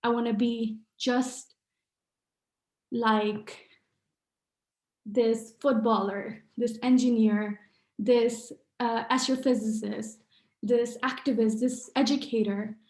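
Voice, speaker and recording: A woman's voice: a little high, steady tone, slow pace, long pauses, a little mellow. A young woman from Pakistan, an author speaking at a book event, earnest with many fillers and restarts. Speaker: author and artist. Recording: echoey room.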